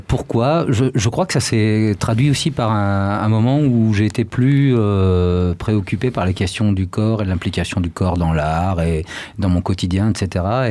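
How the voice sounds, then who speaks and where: A man, a singer guest on a radio show, explaining his music in a thoughtful, measured way with some fillers. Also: low voice, warm tone. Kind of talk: casual chat. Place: radio studio.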